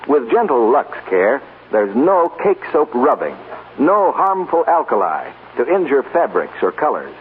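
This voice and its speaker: An American man delivers a 1940s radio advertisement in a polished, earnest sales style. His pitch moves around a lot. The recording is thin and narrow, with some background noise. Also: big pitch swings, a little slow. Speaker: radio commercial announcer. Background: hiss and noise.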